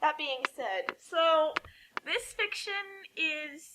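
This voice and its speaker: A woman's voice: high voice, expressive, slow, noisy background. An American woman co-hosting a casual group chat, talkative and wry. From United States. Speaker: co-host.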